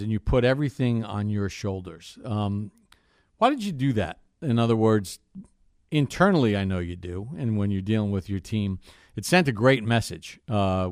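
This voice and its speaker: A man working as a sports radio interviewer, putting measured, respectful questions. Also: on the low side, a little mellow, smooth, dry recording, studio quality.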